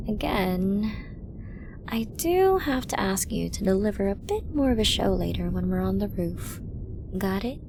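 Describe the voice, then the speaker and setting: A woman's voice: medium pitch, background noise. A woman playing a flirty, dominant, menacing villain in an ASMR roleplay, teasing breathily and often dropping to a whisper. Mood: commanding. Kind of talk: ASMR roleplay audio drama.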